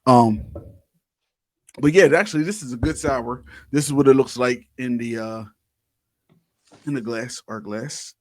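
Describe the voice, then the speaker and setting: Male podcast co-host, mid-pitched, slangy casual banter, very dry close recording. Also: unhurried, pauses often, darkish tone, no room sound. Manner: informal, slangy, swears casually. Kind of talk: casual banter and beer tasting chat.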